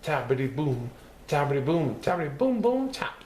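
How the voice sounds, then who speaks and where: A man reading a picture book aloud as a storyteller, expressive and sing-song, playing with repeated words. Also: fairly high pitch, moderate pace. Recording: roomy echo with faint background noise.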